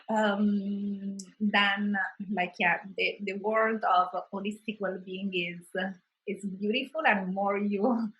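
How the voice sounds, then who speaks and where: A woman's voice: medium pitch, a bit bright, dull audio. A woman in her thirties from Italy with an Italian accent, a wellbeing consultant speaking as an interview guest in a thoughtful way, with many restarts. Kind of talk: conversational interview.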